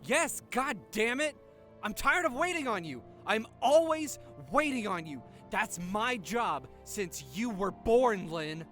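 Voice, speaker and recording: A man's voice: high voice, animated, slow pace, sharp tone, soft background music, full character voice. A man acting a furious, exhausted older brother in an audio drama, swearing and accusing explosively. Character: furious, exhausted older brother who raised his sister.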